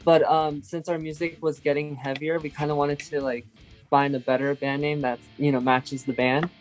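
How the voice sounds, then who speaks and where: A man in his twenties, the band's guitarist, telling its story in a relaxed, rambling way full of "like" and "um". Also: on the high side, moderate pace, soft background music. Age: 20s. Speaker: lead guitarist in a family band.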